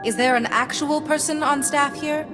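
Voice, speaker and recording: A woman's voice: sits high, fairly even intonation, moderate pace, close to an everyday voice. A woman playing a cool, assertive young Jedi in a video game, with a dry, sardonic manner. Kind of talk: cinematic video game dialogue. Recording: hall reverb, faint music behind.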